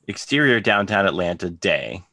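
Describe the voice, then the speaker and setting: Deep, bright-voiced male narrator. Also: very animated, moderate pace, no room sound, studio quality. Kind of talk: scripted narration of stage directions.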